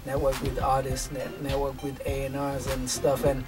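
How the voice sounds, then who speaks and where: A man's voice: mid-range voice, monotone, moderate pace. A man from Kenya, a music producer and songwriter, chatting casually as an interview guest and repeating phrases for emphasis. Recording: slightly distant mic, music behind.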